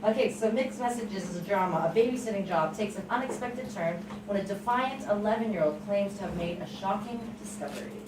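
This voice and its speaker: A woman hosts a table read in a casual, informal way. Her mid-pitched voice is picked up at a distance in a roomy, echoing space with clear background noise. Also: fairly quick, hardly any pauses. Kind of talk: casual event hosting. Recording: echoey room, distant mic, background noise.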